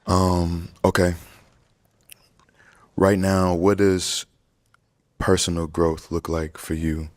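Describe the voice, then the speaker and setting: A man's voice: deep voice, level tone, takes it slowly, long pauses, darkish tone, no room sound, clean studio sound. A man on a dating show talking hesitantly, in slangy speech full of fillers and restarts. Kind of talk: unscripted dating show talk.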